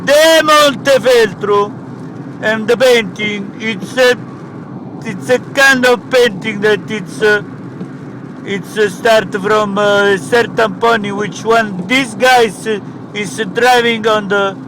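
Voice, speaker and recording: A man's voice: high-pitched, takes it slowly, noisy background. A man with an Italian accent playing a rambling, pompous professor in broken English. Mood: grandiose.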